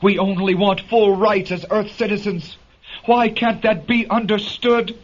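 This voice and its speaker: A man's voice: high-pitched, steady tone, measured pace. A man playing a desperate condemned prisoner in a radio drama, pleading fervently, on a dull vintage recording with slight noise. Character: desperate condemned underperson pleading for equal rights. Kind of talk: golden-age radio drama acting.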